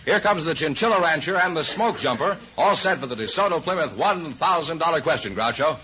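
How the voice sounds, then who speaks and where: A man's voice: on the high side, expressive, a little fast, music in the background. An American man announcing in polished, emphatic 1950s radio style, reading scripted sponsor messages. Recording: dull, raspy vintage radio broadcast.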